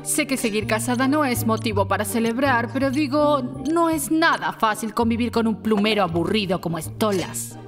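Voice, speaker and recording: A woman's voice: fairly high pitch, expressive, moderate pace, hardly any pauses, over music, close to an everyday voice. A woman voicing a haughty, spiteful owl princess in a Latin American Spanish cartoon dub, with a theatrical, scornful delivery. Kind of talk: animated cartoon fan dub.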